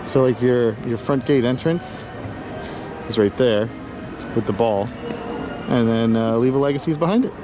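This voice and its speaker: A man's voice: mid-range voice, moderate pace, pauses often, over music. A man casually narrating a walking tour outdoors at a theme park, rambling and offhand and correcting himself as he goes. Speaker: vlog host.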